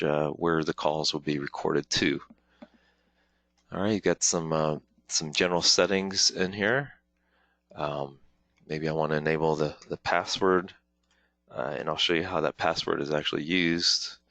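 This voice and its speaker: A man presenting an informal software walkthrough as a webinar trainer, explaining step by step in a halting way with frequent pauses. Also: rumbling low, fairly even intonation, vocal fry, dry recording. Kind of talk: informal software tutorial, live demonstration walkthrough.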